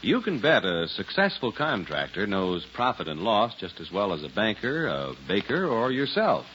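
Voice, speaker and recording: A man's voice: on the low side, wildly swooping pitch, moderate pace, background noise. A man working as a radio announcer, reading scripted copy in a polished, emphatic 1940s style. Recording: dull old radio recording.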